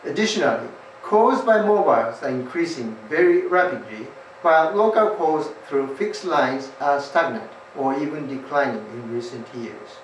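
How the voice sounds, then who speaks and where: A middle-aged man, a native Japanese speaker with a Japanese accent, giving a formal, measured scripted lecture as an English test tutor. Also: fairly high pitch, expressive, a little hiss or hum. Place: small indoor studio. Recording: roomy, slightly distant sound.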